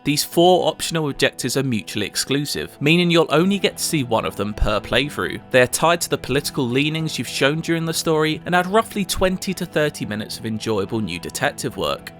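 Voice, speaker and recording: A man reading a scripted video game review as the reviewer. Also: fairly high pitch. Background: soft music.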